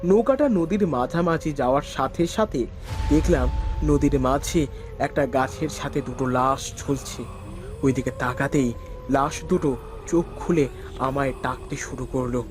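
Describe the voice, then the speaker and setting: A man's voice: mid-range voice, moderate pace, faint music behind. A man narrating a scripted first-person horror story.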